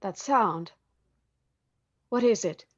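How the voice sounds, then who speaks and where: An actress playing a frightened, anxious wife in a vintage-style radio drama. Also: female voice, mid-range voice, takes it slowly, dry recording, dull audio. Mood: worried.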